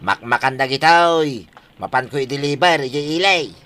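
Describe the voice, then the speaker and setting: A man's voice: medium pitch, moderate pace, sharp tone, a little hiss or hum, lightly acted. A man playing a proud, reluctant husband who comes round to helping, in an Ilocano radio comedy drama. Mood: willing, cheerful. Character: proud, reluctant husband grudgingly turning helpful.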